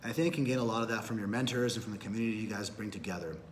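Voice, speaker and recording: A man's voice: lowish voice, rapid-fire, a little hiss or hum. A man in his thirties from Peru, an entrepreneur giving a prepared self-introduction in a businesslike way, with occasional fillers and self-corrections. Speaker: camp applicant, entrepreneur.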